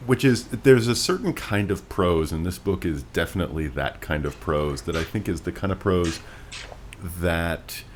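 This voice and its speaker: A man co-hosting a podcast in a casual book discussion, opinionated and wordy, with theatrical emphasis. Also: low voice. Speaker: podcast co-host. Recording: noticeable background noise.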